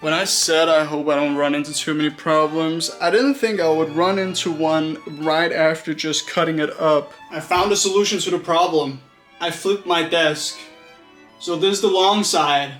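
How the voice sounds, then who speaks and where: A man with a slightly high voice talks through a vlog in a casual manner. He is self-deprecating as he narrates, with music faintly playing behind him. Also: normal speed, a bit bright. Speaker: streamer.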